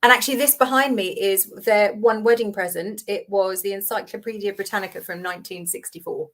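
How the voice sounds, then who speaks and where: A woman in her fifties who grew up in Hong Kong, a guest on a video-call interview, telling her career story with animated acted-out dialogue. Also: mid-range voice, brisk, poor audio. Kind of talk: conversational interview.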